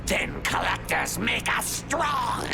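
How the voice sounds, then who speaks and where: An actor playing a savage alien thug in a video game, with a guttural, gravelly shriek and crude broken speech. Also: male voice, high voice, big pitch swings, takes it slowly, over music, exaggerated voice. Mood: menacing.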